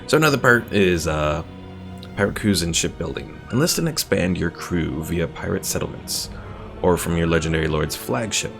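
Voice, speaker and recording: A male streamer with a very low, gravelly voice walks through game rules in a measured, explanatory manner. Soft game music plays under his voice. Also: expressive. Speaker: gaming streamer.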